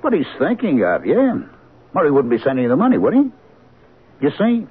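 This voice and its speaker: A brusque, world-weary police captain in a vintage radio play. His husky voice swings widely in pitch with blunt exasperation, on a muffled old recording. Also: huge pitch swings, brisk, full character voice. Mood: exasperated. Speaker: actor in a radio drama. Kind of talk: old-time radio drama acting.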